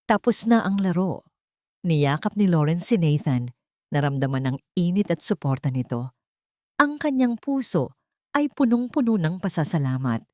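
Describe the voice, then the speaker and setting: A woman with a Filipino accent narrates a dramatic audiobook story. Her voice sits fairly low, and the recording is dry and close, with a scripted storytelling delivery. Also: a little mellow, no room sound.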